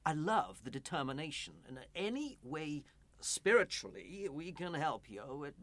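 Bright-voiced, hesitant, placating pastor played by a man. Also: on the high side, big pitch swings, measured pace, full character voice. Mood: placating, encouraging. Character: evasive, kindly church pastor, gently noncommittal. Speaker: actor in a radio play. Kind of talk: naturalistic radio drama dialogue.

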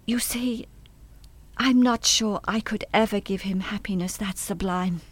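An actress playing a wistful, hesitant German woman in a radio play, speaking in a reflective, halting way. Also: medium pitch, no echo, natural voice. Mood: troubled, wistful. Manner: reflective, halting, trailing into reverie.

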